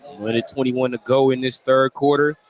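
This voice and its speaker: A man's voice: mid-range voice, fairly even intonation, fairly quick. A man giving conversational live analysis as a color commentator at a basketball game. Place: indoor school gymnasium during a game. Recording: busy gym with clear crowd noise.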